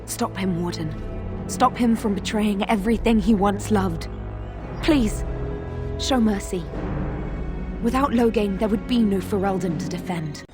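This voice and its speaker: A woman's voice: medium pitch, a little slow, long pauses, music in the background, lightly acted. An actress playing a loyal, duty-bound female knight in a fantasy game, earnest and grave. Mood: pleading. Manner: earnest, solemn. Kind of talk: dramatic fantasy game acting.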